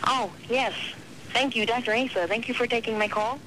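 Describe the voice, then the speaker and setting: A prankster plays a meek woman phoning a radio show, polite and hesitant. The voice is bright and sharp, heard over a slightly noisy telephone line. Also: mid-range voice, steady tone, brisk, close to an everyday voice. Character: meek, polite woman caller with health complaints.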